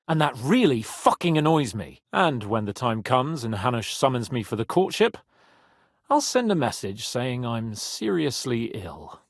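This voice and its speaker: An actor playing a brash, haughty young medieval nobleman in expressive, sardonic video game dialogue. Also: medium pitch, unhurried, no room sound, clean studio sound. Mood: indignant, petulant.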